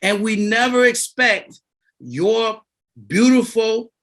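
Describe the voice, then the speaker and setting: A man in his fifties, a guest on a podcast, explaining finance in an animated, emphatic way and repeating his key words. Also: high-pitched, slow. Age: 50s. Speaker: guest, insurance and debt author.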